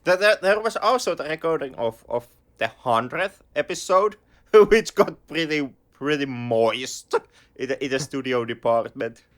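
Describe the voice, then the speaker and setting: Finnish male co-host: animated intonation, halting, restarts a lot. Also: a little high. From Finland. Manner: halting, repeats words while searching. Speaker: podcast co-host. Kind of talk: casual chat.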